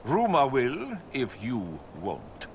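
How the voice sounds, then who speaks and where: A man's voice: medium pitch, huge pitch swings, slow pace, slight background noise, full character voice. Pompous, swooping snobbish nobleman. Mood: gossipy, sly. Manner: pompous, theatrical, condescending. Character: pompous, snobbish nobleman envoy with flamboyant condescension. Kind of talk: video game dialogue acting.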